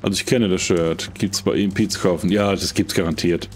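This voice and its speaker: A man's voice: low-pitched, fairly even intonation, brisk. A middle-aged man streaming a game, chatting casually in a rambling, jokey way as he plays. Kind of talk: casual chat while playing.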